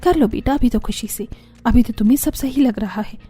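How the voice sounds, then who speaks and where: Lady playing grumbly tired elder sister-in-law in a cartoon, resigned, mellow voice, music under. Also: fairly high pitch, fairly quick, slightly rough. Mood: weary grumbling.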